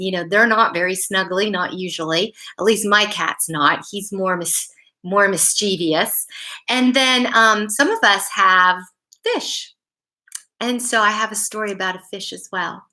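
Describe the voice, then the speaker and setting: A woman's voice: mid-range voice, bright, forceful tone. A woman reading bedtime stories and chatting to young children, often putting simple questions to them. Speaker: school story-time host reading to children. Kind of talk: read-aloud bedtime storytelling and chat to children.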